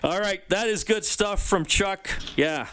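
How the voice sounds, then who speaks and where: A man's voice: high voice, a little slow, over music. Man hosting sports radio. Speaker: sports radio show host.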